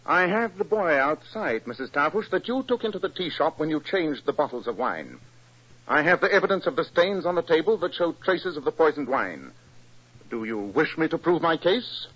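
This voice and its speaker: An actor playing an incisive, proud English detective with a British accent, precise and emphatic, in 1940s radio style. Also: male voice, fairly high pitch, very animated, moderate pace, fairly bright tone, breathy edge, exaggerated voice. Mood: triumphant, accusing. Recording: dull 1940s radio recording.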